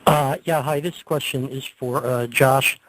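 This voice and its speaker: A man's voice: medium pitch, steady tone, measured pace, fairly bright tone. A man working as a sports reporter, asking questions on a press call in hesitant, broken-up phrases. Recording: faintly noisy conference call line.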